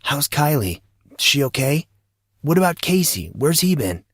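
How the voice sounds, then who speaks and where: Audio drama schoolboy, teens, played by an adult man: youthful and wry, slightly husky, close dry sound. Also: a little high, very animated, a little slow, no echo, voice pushed up, full character voice. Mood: worried, pressing. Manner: casual, youthful, blurting. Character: teenage schoolboy, earnest, wry, casual.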